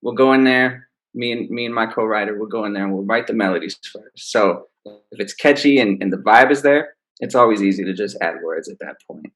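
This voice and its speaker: A man, an R&B singer, answering interview questions in a relaxed, easygoing way. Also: on the low side, fairly quick, poor audio. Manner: relaxed, laid-back explaining. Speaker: R&B singer, guest. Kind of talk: casual interview.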